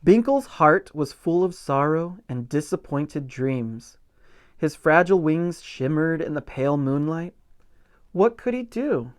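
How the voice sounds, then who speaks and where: A man reading a picture book aloud as a pastor at storytime, with gentle expression and simple asides for young children. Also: fairly high pitch, unhurried. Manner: gentle, expressive, stretches key words for emphasis.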